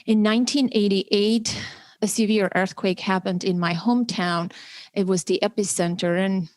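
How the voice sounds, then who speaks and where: A woman's voice: mid-range voice, steady tone, normal speed, a little mellow, no echo. A woman in her forties from Armenia, hosting a podcast and speaking earnestly and reflectively. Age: 40s. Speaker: podcast host. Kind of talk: podcast interview.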